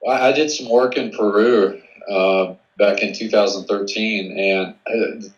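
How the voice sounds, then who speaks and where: A man is a guest on an interview podcast. He speaks in a measured way and often restarts his sentences. His low voice comes through dull and lo-fi, with a roomy echo. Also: steady tone. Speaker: guest researcher. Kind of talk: conversational interview.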